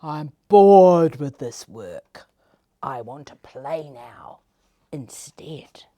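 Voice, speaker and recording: A woman voicing a lazy, grumbling male caterpillar from a children's storybook, with drawn-out, theatrical complaining. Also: deep voice, animated, warm tone, voice pushed down, full character voice. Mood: bored, sulky.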